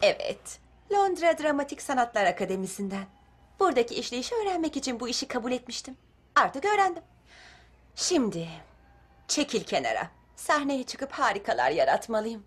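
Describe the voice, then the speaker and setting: A woman's voice: a little high, sing-song, fairly quick, breathy edge. A woman voicing a poised, ambitious teenage schoolgirl in an amateur film dub, self-assured. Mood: smug, scornful. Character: poised, ambitious teenage schoolgirl assistant turned scheming rival. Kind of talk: amateur fan dub acting.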